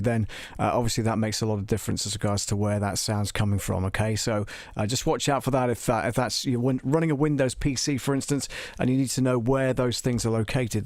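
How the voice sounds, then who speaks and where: A man giving a casual live music software tutorial, talking informally and hesitantly with a self-deprecating manner. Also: mid-range voice, dry recording. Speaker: host of a music production livestream.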